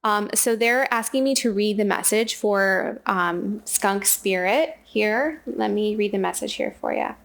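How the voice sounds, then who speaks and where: A woman doing a casual spiritual oracle card reading, talking in a soft, rambling way while losing her voice. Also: medium pitch, unhurried, creaky, few pauses. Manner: soft, rambling, repeats and restarts phrases. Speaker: tarot and oracle card reader.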